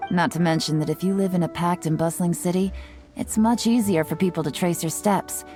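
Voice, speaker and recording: An actress playing a sly, self-assured young woman intelligence agent in a video game, speaking in a coy, teasing, unhurried way. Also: low-pitched. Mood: cool, matter-of-fact. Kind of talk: scripted video game character voice lines. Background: soft music.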